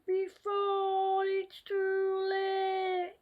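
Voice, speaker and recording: A child plays a menacing storybook phantom who accuses and threatens. The voice is very high and extremely slow, stretching words into a sing-song. The recording is dull and muffled. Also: monotone, exaggerated voice. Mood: menacing, accusing. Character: menacing ghostly scary voice accusing schoolchildren.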